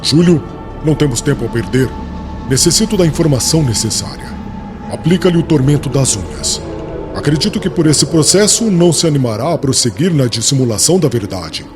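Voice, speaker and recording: A man's voice: fairly high pitch, lively intonation, brisk, lightly acted. Man acting a stern, imperious young inquisitor, faint music. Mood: menacing. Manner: formal, commanding, menacing. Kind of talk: dramatized audiobook acting in formal language.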